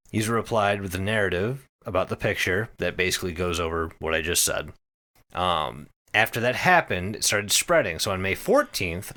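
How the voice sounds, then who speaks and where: A man's voice: lowish voice, fairly bright tone. A man co-hosting a podcast, telling stories casually in an emphatic way with plenty of swearing. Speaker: podcast co-host.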